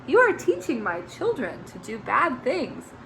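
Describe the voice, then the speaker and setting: A woman's voice: high-pitched, huge pitch swings, unhurried, noisy background, voice pushed up, heavily acted. A woman voicing a strict mother bird in a children's storybook, scolding and bossy. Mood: stern.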